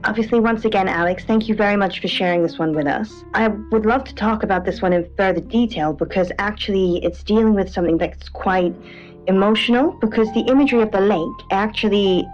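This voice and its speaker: Woman acting as female late-night radio co-host, mid-pitched, earnest, measured, tentative, muffled broadcast sound. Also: darkish tone, close to an everyday voice. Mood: earnest, calm. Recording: band-limited radio sound over music.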